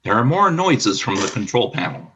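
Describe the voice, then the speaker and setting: A man narrating a scripted radio play as storyteller, with a husky voice. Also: fairly low pitch, very animated, moderate pace, fairly bright tone, slight background noise.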